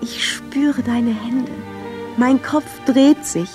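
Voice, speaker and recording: A woman playing a tender, lovestruck young violinist of the 1910s, reading her love letters in a measured, yearning radio drama style. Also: on the high side. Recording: clear violin music under the voice. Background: violin music.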